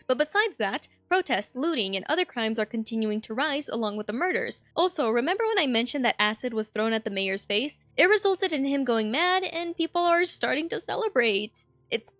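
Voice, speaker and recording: High-voiced woman narrating a video analysis. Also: expressive, brisk, hardly any pauses, a bit bright, no room sound. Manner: conversational, dryly joking asides to viewers. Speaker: host.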